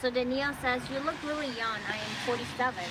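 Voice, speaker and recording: A woman's voice: high voice, fast, a crowd in the background. A woman co-hosting a casual livestream, reading viewer comments aloud and giggling.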